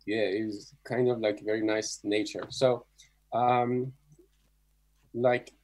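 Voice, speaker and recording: A middle-aged man from Iran speaks with an Iranian accent in a medium-pitched voice. He tells his story casually as an interview guest, with halting, non-native English phrasing typical of a Persian speaker. He is talking over a video call. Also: darkish tone, poor audio. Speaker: guest photographer.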